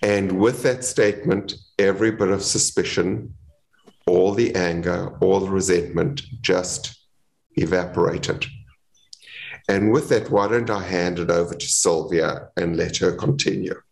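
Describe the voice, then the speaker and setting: Slow, gravelly middle-aged man. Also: deep voice, fairly even intonation, low-quality recording. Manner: recounts a conversation, quoting both sides. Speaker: panel guest. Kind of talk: storytelling on a panel talk. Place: home room, indoors. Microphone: video call.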